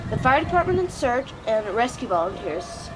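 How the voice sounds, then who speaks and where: A woman's voice: on the high side, very animated, moderate pace, distant mic. A woman giving an improvised report in an emergency drill. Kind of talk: improvised role-play report. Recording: noisy outdoor recording, vehicles, off-mic.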